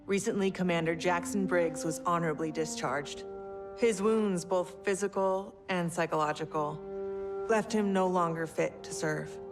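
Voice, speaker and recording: Authoritative female general, voiced by a woman: low, clipped, no-nonsense, over a game score. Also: moderate pace, music in the background, lightly acted. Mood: formal. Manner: crisp, commanding military address. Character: tough female military general, brisk and authoritative. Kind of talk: video game cutscene acting. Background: orchestral game score.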